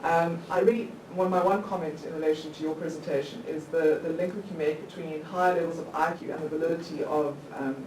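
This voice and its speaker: A woman's voice: low voice, steady tone, fast talker, hardly any pauses, darkish tone. A woman in her thirties, a law lecturer, asking the panel a long question from the floor, chatty, with many restarts and little laughs at herself. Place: conference hall. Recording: echoey hall, distant mic, faint noise.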